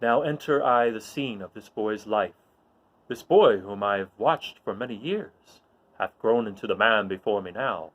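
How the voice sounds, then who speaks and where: A man playing an earnest old mentor, speaking a measured, hopeful soliloquy in Shakespearean verse. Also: lowish voice, highs cut off. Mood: hopeful, careful. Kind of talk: dramatic reading of mock-Shakespearean verse.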